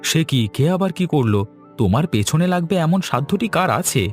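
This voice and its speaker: A man's voice: fairly high pitch, big pitch swings, brisk, soft background music. A man voicing an exasperated young husband in a village cartoon, grumbling and dismissive. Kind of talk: Bengali moral-tale cartoon dubbing.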